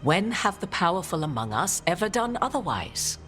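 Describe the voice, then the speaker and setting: An actress playing a proud, mysterious witch in a fantasy game, speaking in formal, archaic, measured phrases. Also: on the low side, big pitch swings, a bit bright, soft background music. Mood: grave counsel. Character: proud, mysterious witch, arcane advisor, grandiose.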